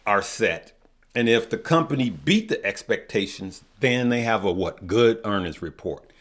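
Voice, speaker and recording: A man hosting a stock market video, losing his voice, who asks questions and then answers them himself and calls his viewers good people. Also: fairly low pitch. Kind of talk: casual finance talk to viewers.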